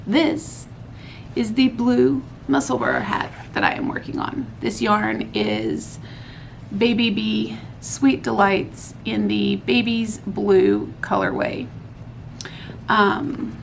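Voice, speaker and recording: A woman, hosting a casual craft podcast from home at night, a bit hoarse after losing her voice, chatty and rambling. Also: medium pitch, a little hiss or hum. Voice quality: hoarse, recovering from lost voice. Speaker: podcast host. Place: home at night, laundry running.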